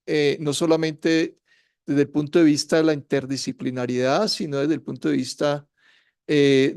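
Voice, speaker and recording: A man's voice: on the high side, darkish tone, smooth, no room sound, studio quality. A man hosting a university seminar, giving a formal, courteous welcome with hesitant fillers. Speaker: university faculty host introducing guests.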